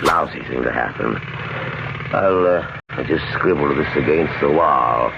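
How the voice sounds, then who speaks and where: An actor playing a genial, weary police sergeant in a radio drama, chatting in a folksy, unhurried way. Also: male voice, very deep voice, gravelly, heavily acted. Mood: friendly. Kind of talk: old-time radio drama acting. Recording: muffled, noisy old radio broadcast recording.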